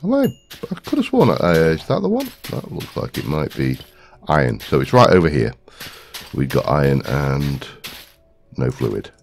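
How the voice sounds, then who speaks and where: A very deep, gravelly man, his voice stuffy with a head cold, rambles through casual gameplay commentary and mutters to himself as he searches. His pitch rises and falls in a lively way, with a faint hiss of noise behind him. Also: normal speed. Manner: rambling, talks to himself while searching, many ums. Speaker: gaming video host.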